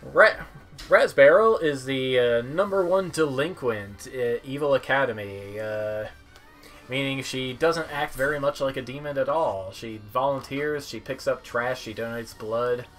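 A man's voice: mid-range voice, measured pace, a bit bright. Young man commentating casually over game music. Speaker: gameplay video commentator. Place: indoor room at home.

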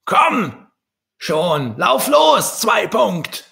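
Man doing an impatient shrill young ladybug boy. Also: big pitch swings, very slow, gravelly, heavily acted. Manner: shouted, bossy urging. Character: impatient young male ladybug brother, teasing.

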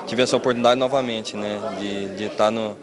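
A Brazilian footballer in his thirties, a man giving earnest, steady answers. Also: fairly low pitch, fairly quick. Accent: Brazilian Portuguese. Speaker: football player. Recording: crowd noise behind.

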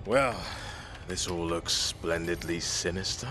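An actor playing a wisecracking, streetwise British survivor in a zombie video game, flippant and slangy in manner. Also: male voice, low voice, wildly swooping pitch, a little slow, creaky, heavily acted. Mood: sardonic. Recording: faint background ambient noise.

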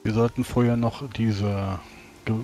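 A man's voice: fairly low pitch, steady tone, a little slow, mellow, faint music behind, close to an everyday voice. A man playing a wounded, grim adventurer who speaks formally and with composure in a tabletop roleplay. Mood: composed.

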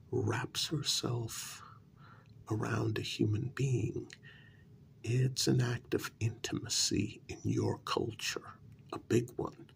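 Man playing a whispering, gravelly, coaxing talent agent. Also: mid-range voice. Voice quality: breathy, half-whispered. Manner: gentle, coaxing, deliberate with pauses. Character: short, cajoling male talent agent to monster women. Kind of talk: soft-spoken ASMR role-play.

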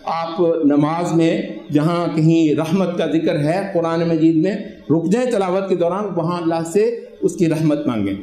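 High-voiced Urdu-accented imam, roomy hall. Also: male voice, normal speed. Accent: Pakistani Urdu. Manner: animated, gesturing, explanatory with Arabic recitations. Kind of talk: Friday sermon. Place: mosque prayer hall, indoors. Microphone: stand microphone and lapel mic.

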